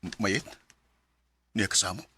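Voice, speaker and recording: An actor with a Cebuano Filipino accent playing an earnest, longing young suitor in a radio drama, with a very raspy, breathy voice. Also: male voice, fairly low pitch, a little slow, pushed and bright, exaggerated voice. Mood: hopeful, tender.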